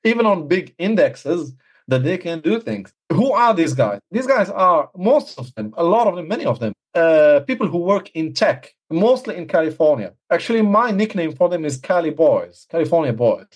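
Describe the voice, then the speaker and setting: A man's voice: on the high side, big pitch swings, normal speed, breathy edge. Man from Morocco explaining in casual interview. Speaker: podcast guest, trader.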